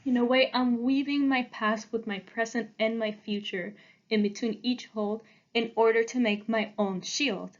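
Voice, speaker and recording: Young woman, twenties, art student giving a prepared talk, earnest and a bit halting, fairly high voice, slightly dull recording. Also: steady tone. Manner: earnest, halting, with small restarts. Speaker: art student presenting her work. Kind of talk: prepared presentation.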